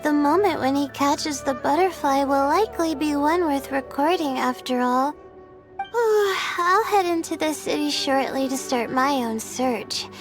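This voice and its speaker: A woman voicing a gentle, polite, hesitant young astrologer in a video game. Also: sits high, animated, unhurried. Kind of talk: animated video game voice acting. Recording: music under the voice.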